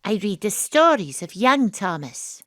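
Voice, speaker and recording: A woman's voice: medium pitch, big pitch swings, measured pace, fairly bright tone, no room sound, clean studio sound. An actress playing a young woman investigator, wry and weary, narrating her story lyrically in an audio drama. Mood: wry, reflective.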